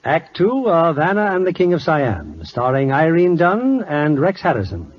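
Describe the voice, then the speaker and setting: A man's voice: fairly high pitch, wildly swooping pitch, a little slow, crowd noise. A man hosting and narrating a 1940s radio theater, reading a script in a formal, theatrical announcing style. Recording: dull old radio recording, music behind.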